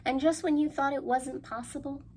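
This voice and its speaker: A woman presenting a children's storytime, reading aloud in a sing-song, questioning way with playful pauses and hushed asides. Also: sits high, steady tone. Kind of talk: animated read-aloud for young children. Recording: faint background noise.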